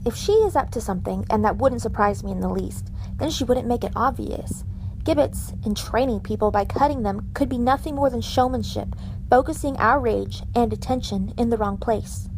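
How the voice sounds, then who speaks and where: Woman playing a higher-voiced, suspicious officer. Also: normal speed, darkish tone, lightly acted, poor audio. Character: shrewd, suspicious female starship officer. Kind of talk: science fiction audio drama acting. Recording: faint background ambience noise.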